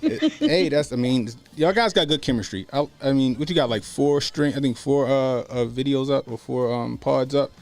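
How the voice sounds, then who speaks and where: A man's voice: mid-range voice, normal speed, few pauses, a little mellow. An American man in his late thirties hosting a stream in casual, slangy, salty-tongued, joking chat. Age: late 30s. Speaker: podcast and livestream host. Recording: music bed under speech. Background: music.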